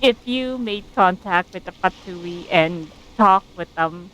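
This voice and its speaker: A woman's voice: fairly low pitch, slow, fairly bright tone, a little hiss or hum, poor audio. A woman streaming a game, giving casual, reactive commentary with a questioning manner.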